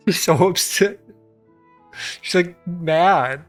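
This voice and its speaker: A woman's voice: on the low side, very slow, faint music behind. A woman streaming a game, giving casual reaction commentary in short remarks.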